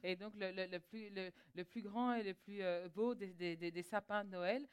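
A woman interprets a sermon live, line by line. Her voice is smooth and clear, and she often stalls on small words, repeating them while she looks for the phrasing. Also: mid-range voice, fairly even intonation, normal speed.

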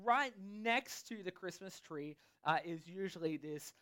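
A man with an Australian accent preaching a sermon in a relaxed, chatty way, pausing often so an interpreter can translate. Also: high voice. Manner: casual, chatty, pauses for translation. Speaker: pastor.

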